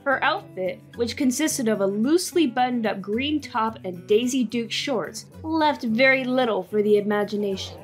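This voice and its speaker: Female narrator, fairly high, gentle even storytelling. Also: moderate pace, few pauses, soft background music. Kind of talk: scripted narration.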